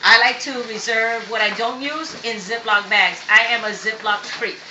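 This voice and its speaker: A woman born in Vietnam walks viewers through a recipe in her home kitchen, chatty and step by step. Her voice is bright, and the kitchen sounds roomy and echoey, with a faint hum of background noise. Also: on the high side, steady tone, moderate pace, highs cut off. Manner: chatty, explains step by step, frequent okay checks. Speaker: cooking show host. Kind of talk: home cooking demonstration.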